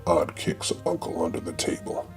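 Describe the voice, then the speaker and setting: A man reading scripted comic narration for an audio drama in a deadpan way. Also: moderate pace, faint music behind.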